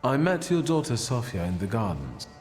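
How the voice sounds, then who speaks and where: A man acting a proud, cool-headed thaumaturge in a story video game, speaking in a measured, self-assured way. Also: medium pitch, huge pitch swings, a little mellow, big-room sound, soft background music. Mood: polite, calculating. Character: proud, defiant male thaumaturge, cool and scheming.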